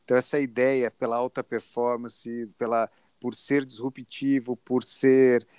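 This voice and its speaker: A man's voice: medium pitch, level tone, a little slow, darkish tone, clear, resonant tone, dry recording. A man with a Brazilian accent in a reflective panel discussion, searching for his words as he goes.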